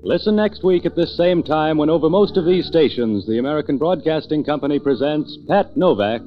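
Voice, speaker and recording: A man's voice: fairly high pitch, expressive, brisk. A man announcing scripted credits in the style of a 1940s radio network announcer. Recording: dull vintage 1940s radio recording. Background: organ music.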